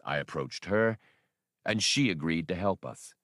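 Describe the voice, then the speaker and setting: A man voicing a courteous, grave older scholar in an audiobook, with formal, deliberate wording. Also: sits low, expressive, a bit bright, no echo, clean studio sound. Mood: grave, regretful. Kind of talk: audiobook character dialogue.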